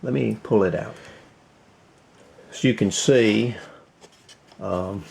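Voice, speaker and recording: A man giving a casual hands-on demonstration as a video host, thinking aloud in short, halting phrases. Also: lowish voice, creaky, a little mellow, slight background noise. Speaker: host of a radio repair video.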